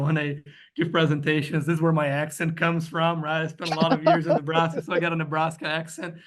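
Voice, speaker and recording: A man's voice: fairly high pitch, fairly bright tone. A man, a guest weed scientist, giving a slide presentation in a webinar and explaining step by step with frequent "you know" and "right?" checks.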